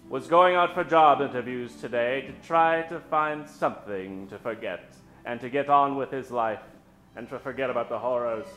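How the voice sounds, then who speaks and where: A man hosting a live old-time radio show, introducing characters from the stage in a dramatic, drawn-out storytelling style. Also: medium pitch, a bit bright. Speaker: host and announcer. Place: stage before a live studio audience. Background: musical accompaniment.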